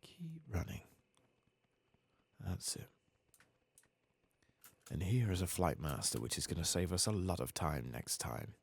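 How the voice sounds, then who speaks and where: Man, very husky, low-pitched, soft ASMR game commentary, murmured self-talk, close mic. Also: big pitch swings, measured pace, pauses often. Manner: murmured running self-talk, casually sweary. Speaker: streamer playing a video game. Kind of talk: soft-spoken ASMR gaming commentary with some whispering.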